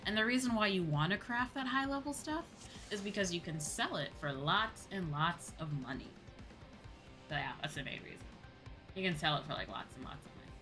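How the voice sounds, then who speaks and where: A woman's voice: fairly low pitch, expressive, fairly quick, music in the background. A woman livestreamer chatting casually to her viewers as she plays, informal and rambling, often repeating herself. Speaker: livestreamer and host.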